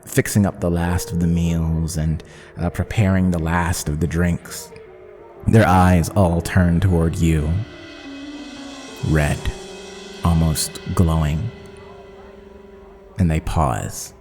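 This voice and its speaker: Deep, slow-speaking male game master. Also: little pitch movement, a little mellow, faint music behind. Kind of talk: narrating a tabletop actual play podcast. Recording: long reverb, clear background noise.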